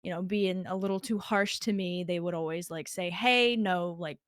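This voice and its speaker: A woman's voice: on the low side, fairly even intonation, moderate pace, dry recording, studio quality. A young woman as a podcast guest, talking in a chatty way with lots of filler words. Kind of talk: casual podcast conversation.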